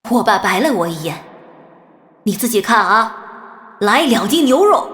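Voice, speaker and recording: 略带沙哑、起伏生动的女声演绎的故事讲述者. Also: female voice, mid-range voice, big pitch swings, moderate pace, fairly bright tone, husky, exaggerated voice. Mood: lively, bold. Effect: added room reverb. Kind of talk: animated audiobook storytelling. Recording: demo clip with added reverb effect.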